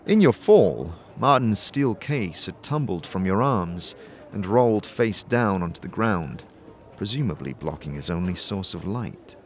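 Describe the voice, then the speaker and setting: A man's voice: low voice, expressive, a little slow, faint music behind. A man narrating a scripted second-person story for an audio drama.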